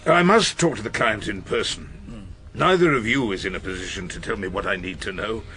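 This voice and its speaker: A man's voice: fairly low pitch, brisk, sharp tone. An actor playing an irascible, witty elderly English barrister in a radio drama, pompous and ornate in his phrasing as he talks and narrates. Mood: probing, dry. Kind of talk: radio drama acting and wry first-person narration.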